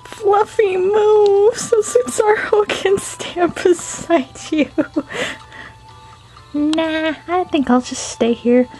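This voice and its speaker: Woman voicing a high, cheeky comic troublemaker. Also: steady tone, slow, a little mellow, faint music behind, rough recording. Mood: playful. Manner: teasing, exclaiming. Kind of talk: amateur comic dub.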